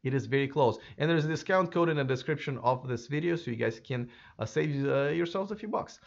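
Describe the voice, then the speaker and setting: Hoarse man chatting about cars. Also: mid-range voice, brisk, muffled recording. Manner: chatty, speculative, many fillers and restarts. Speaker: YouTube electric car channel host. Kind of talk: casual solo commentary to camera.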